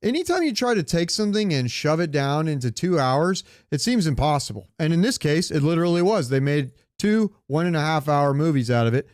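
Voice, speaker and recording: A man with a fairly high, clean voice recorded close and dry. He co-hosts a casual podcast, talking in a loose, rambling, conversational way with the odd swear word. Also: big pitch swings, a little fast, few pauses, darkish tone, no room sound. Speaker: podcast co-host. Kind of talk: casual chat about comics and movies.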